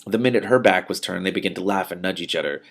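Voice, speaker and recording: Low, creaky-voiced man reading aloud. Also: fast talker. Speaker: host reading a novel aloud with commentary. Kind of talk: expressive audiobook-style reading with spontaneous reactions.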